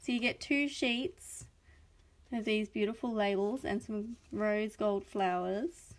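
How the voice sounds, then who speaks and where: A woman's voice: medium pitch, slow pace. A young adult Australian woman hosting a chatty live craft unboxing, gushing and enthusiastic, a little sniffly from a cold. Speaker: craft video host.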